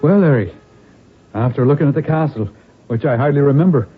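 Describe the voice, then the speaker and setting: An actor playing a proud but weary impoverished nobleman, husky-voiced, in old radio drama style. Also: mid-range voice, brisk, faint music behind, lightly acted. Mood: wistful, resolute. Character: impoverished Irish nobleman, proud yet weary, last of his line. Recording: narrow-band old radio broadcast.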